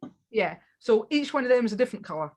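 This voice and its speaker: A woman's voice: fairly high pitch, expressive, fast talker, a little mellow. A woman guest in a casual interview, talking with enthusiasm and often restarting her sentences. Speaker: guest on spiritual practice.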